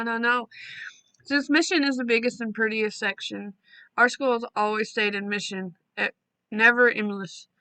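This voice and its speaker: A woman hosting a storytelling channel, reading listener stories aloud in a casual way and breaking off for quick asides. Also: on the high side, bright, forceful tone, clear, resonant tone, no echo, rough recording.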